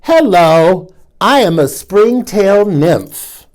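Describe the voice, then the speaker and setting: A man's voice: high-pitched, big pitch swings, drawn-out words, darkish tone, heavily acted. A man voicing a young, sporty springtail nymph who speaks in playful rhymes. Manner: rhyming, playful.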